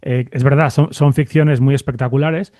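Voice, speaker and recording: A man hosting a conversational interview, phrasing his questions reflectively and haltingly, with frequent tag questions. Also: medium pitch, fairly even intonation, dark tone, dry recording, clean studio sound. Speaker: podcast host, interviewer.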